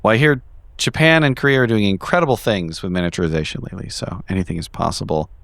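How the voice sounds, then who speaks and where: A man playing in a tabletop game, speaking politely in character and describing his moves with hesitant pauses. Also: fairly low pitch, gravelly, fairly bright tone, no echo. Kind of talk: in-character actual play with casual asides.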